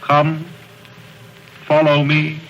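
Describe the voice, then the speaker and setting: Slow, solemn ghostly spirit. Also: male voice, fairly high pitch, completely flat delivery, background noise. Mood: grave, guiding. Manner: grave, stately, drawn-out, theatrical. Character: solemn, commanding ghost of Christmas past. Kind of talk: 1930s radio drama acting. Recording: dull old radio, music behind.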